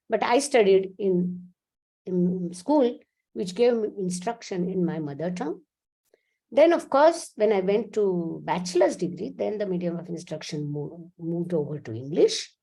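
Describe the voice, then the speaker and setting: A woman's voice: mid-range voice, mellow, slightly rough. A woman in her seventies from India, a physicist giving a lecture with slides, explaining at length and restarting sentences as she goes. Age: 70s. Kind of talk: academic talk with slides.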